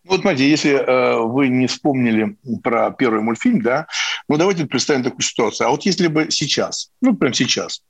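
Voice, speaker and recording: A man's voice: mid-range voice, animated, brisk, creaky, breathy edge, no room sound. A middle-aged man hosting a live radio interview, conversational and probing, often checking with short tag questions. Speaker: radio host.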